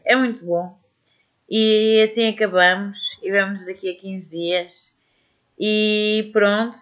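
A woman's voice: medium pitch, highs cut off. Chatty European Portuguese woman radio host. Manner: relaxed, chatty, thinking aloud with fillers. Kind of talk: casual radio chat introducing songs.

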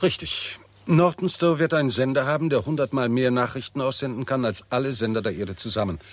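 An actor playing a confident, shrewd professor of astronomy, explaining precisely in a 1960s German radio drama style. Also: male voice, medium pitch, a little fast, no room sound, natural voice. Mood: coolly confident. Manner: didactic, precise, self-assured.

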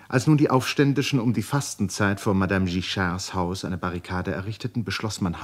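A man narrating a literary radio play in a measured, scripted storytelling manner. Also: deep voice.

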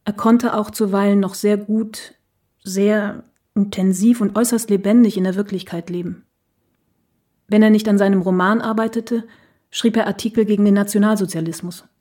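A wistful, reflective woman, played by an actress, recalls a past love in a radio play. Her voice is very mellow and mid-pitched, and she speaks in a sober, measured way. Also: fairly even intonation, natural voice. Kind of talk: documentary radio play monologue.

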